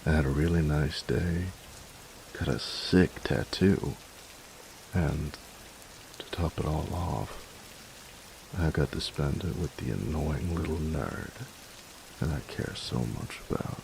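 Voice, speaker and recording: A man playing a gruff young bully turned affectionate boyfriend in an intimate roleplay audio drama, slangy and teasing, halting when sincere. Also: extremely low pitch, darkish tone, slight background noise, full character voice, poor audio. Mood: content, teasing. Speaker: voice actor.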